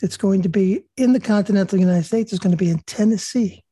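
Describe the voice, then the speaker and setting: A woman hosts a small online faith group over a video call, moving from steady, measured recited prayer to loose, filler-filled chat. Her voice is mellow and a little husky, and the sound is close and dry, with no room around it. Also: medium pitch, no echo. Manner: calm and measured, with many fillers and restarts in chat. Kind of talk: leading prayers, then casual group chat.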